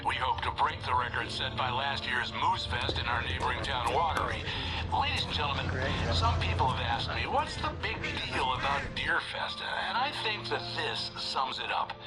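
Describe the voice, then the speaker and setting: An actor playing a genial, folksy small-town radio host addressing listeners in a video game. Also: male voice, medium pitch, big pitch swings, moderate pace, close to an everyday voice, poor audio. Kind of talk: local radio broadcast patter. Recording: loud music behind broadcast voice.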